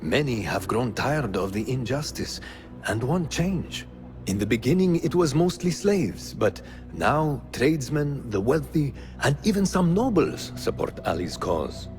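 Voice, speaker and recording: An actor playing a calm, wise male assassin mentor who speaks in a measured, grave way. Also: medium pitch. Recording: game audio with music.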